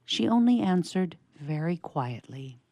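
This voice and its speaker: A woman's voice: fairly low pitch, big pitch swings, unhurried, dark tone, dry recording. A woman narrating a scripted story for radio theatre in a storybook style.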